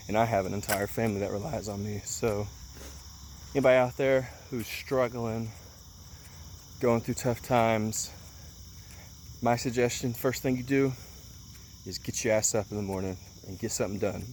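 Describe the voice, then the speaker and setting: A man's voice: fairly low pitch, slight background noise. A man in his late twenties giving an earnest motivational talk outdoors, with lots of ums and repeated words for emphasis. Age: 20s. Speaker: motivational vlogger.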